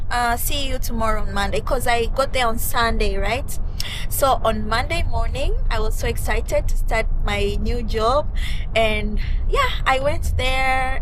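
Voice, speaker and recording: A woman's voice: a little high, slight background noise, poor audio. A young woman from Tanzania, whose first language is not English, telling her story casually and animatedly as an interview guest. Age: young adult. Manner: animated, quoting others in lively asides.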